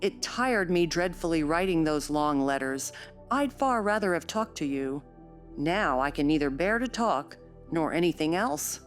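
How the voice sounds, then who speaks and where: A woman's voice: low-pitched, animated, measured pace, soft background music. A woman voicing a peevish, sickly teenage boy who whines and complains fretfully in an audiobook story. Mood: fretful, weary. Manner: whining, complaining.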